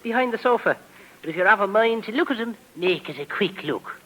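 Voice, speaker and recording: Irish rogue, husky and breathy, high-pitched, sly folksy lilt, old radio crime drama. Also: male voice, expressive, brisk, pushed and bright, exaggerated voice, poor audio. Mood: wry, welcoming. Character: roguish Irish crook who chases stolen loot. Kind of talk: 1950s radio drama acting. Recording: vintage 1950s radio recording.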